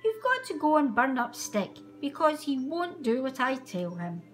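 Woman voicing a bossy, lively little sparrow. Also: high-pitched, moderate pace, faint music behind. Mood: exasperated. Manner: indignant squawking and demanding. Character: bossy, hot-tempered little female sparrow.

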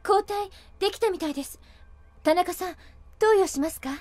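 Very high-voiced, gentle young lady. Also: normal speed, noisy background. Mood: precise, calm. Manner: soft-spoken, formal, hesitant. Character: gentle, polite, shy young woman, dutiful and precise. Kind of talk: Japanese anime audio drama acting.

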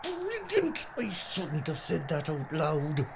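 A man's voice: on the high side, wildly swooping pitch, slow, vocal fry, heavily acted. A man playing a nervous, sarcastic filmmaker sidekick in a horror adventure drama, dry and whiny. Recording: dull audio with loud background noise.

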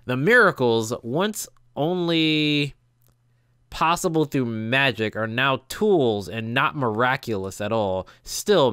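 Young man with lively, swinging intonation. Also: medium pitch, no room sound, clean studio sound. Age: young adult. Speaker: gaming channel host. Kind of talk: reading visual novel text aloud with casual reactions. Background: game music.

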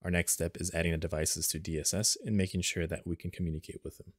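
A man narrating an unscripted step-by-step software tutorial in a plain, instructional manner, recorded as a screen voice-over. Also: deep voice, flat delivery, quick pace, no echo, clean studio sound.

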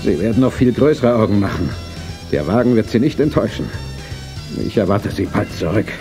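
An actor playing a determined, visionary older gentleman in a radio play, speaking in a measured, resolute way. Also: on the low side, lively intonation, soft background music. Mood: calm authority. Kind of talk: dubbed-style radio play acting. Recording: faint noise on cassette transfer.

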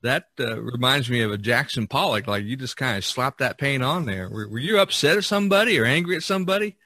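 A middle-aged or older man, a painter and podcast host, talking casually about art in a halting, searching way. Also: mid-range voice, expressive, bright, forceful tone, no echo.

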